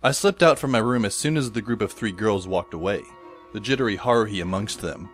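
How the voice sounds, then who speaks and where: A man playing a wry, resigned teenage schoolboy who narrates an audio drama in a deadpan manner. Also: on the low side, fairly quick, natural voice. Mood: wry, measured. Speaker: narrator and lead character. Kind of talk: first-person scripted audio drama narration. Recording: music behind the voice. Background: instrumental music.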